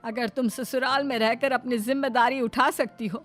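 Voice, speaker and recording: A woman's voice: fairly high pitch, fairly even intonation, normal speed, a bit bright, lightly acted. A woman voicing an older mother-in-law in a cartoon moral story, in a dramatic, earnest style. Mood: remorseful, earnest. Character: older mother-in-law, stern then remorseful and caring. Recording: background music under the voice.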